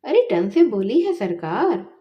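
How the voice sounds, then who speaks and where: Woman voicing a meek village man, high-pitched and agreeable. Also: very animated, slow, highs cut off. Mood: excited, insistent. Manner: simple, agreeable, deferential. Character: meek, good-natured village man, deferential childhood playmate. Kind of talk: Hindi storybook dialogue.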